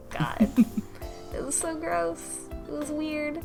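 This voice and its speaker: A woman's voice: sits high, fairly even intonation, drawn-out words, vocal fry. A woman on a casual book club panel, talking in a wry, incredulous, conversational way. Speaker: podcast panelist. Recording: music behind the voice. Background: background music.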